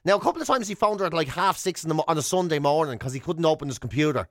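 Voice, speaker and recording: A man with an Irish accent co-hosting a comic radio show, telling stories in an excitable, rambling way. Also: fairly high pitch, racing, dry recording, studio quality.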